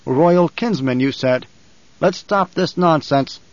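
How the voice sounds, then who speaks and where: A man's voice: fairly high pitch, slow pace, no echo, lo-fi sound. A man voicing a cold, defiant young nobleman in an audiobook, speaking in a controlled, declamatory way. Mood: cool defiance.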